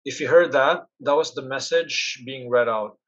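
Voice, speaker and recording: Male demonstrator for app tutorial, step-by-step delivery, husky tone, dull lo-fi audio. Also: medium pitch, normal speed. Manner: instructional, step-by-step. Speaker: product demonstrator. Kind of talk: software tutorial narration.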